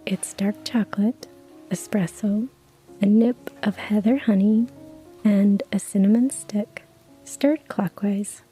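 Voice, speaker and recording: A woman voicing a friendly young female barista with a Scottish accent, speaking softly and warmly. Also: mid-range voice, takes it slowly, breathy edge, faint music behind, poor audio. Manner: soft, warm and welcoming.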